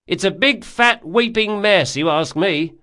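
A man's voice: high-pitched, animated, unhurried, bright, forceful tone, pitched above the performer's own voice, full character voice. A man voicing a blunt, chatty working boatman in an audiobook, colloquial and opinionated. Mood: grumbling, gossipy. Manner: colloquial, dropped words, exclamatory.

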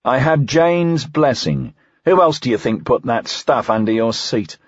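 A man's voice: medium pitch, lively intonation, unhurried, no echo, muffled recording. A man voicing a brash, loyal deputy officer in an audiobook, teasing, informal and insistent. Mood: cheeky, insistent. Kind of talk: audiobook character dialogue.

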